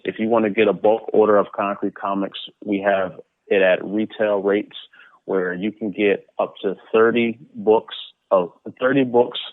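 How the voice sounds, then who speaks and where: A man's voice: fairly low pitch, dry recording. A man being interviewed as a guest, chatting casually and informally, often repeating words and phrases as he goes. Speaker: interview guest, comic book publisher. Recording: muffled phone-like line.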